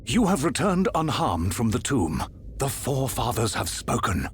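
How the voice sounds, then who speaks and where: An actor playing an aging emperor in a video game, grave and regal, speaking in a stately, formal manner. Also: male voice, medium pitch, sing-song, normal speed, a little hiss or hum, lightly acted. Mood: proud, tender. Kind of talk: dramatic video game cutscene acting.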